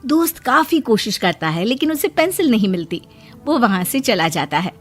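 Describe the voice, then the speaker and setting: A woman's voice: a little high, sing-song, normal speed, breathy edge, soft background music. A woman narrating a scripted cartoon moral story as its storyteller.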